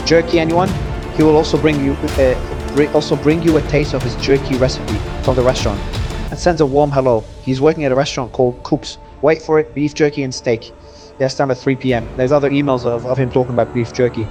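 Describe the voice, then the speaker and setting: Fast-talking man over background music. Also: mid-range voice, fairly even intonation. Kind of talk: conversational discussion, reading emails aloud.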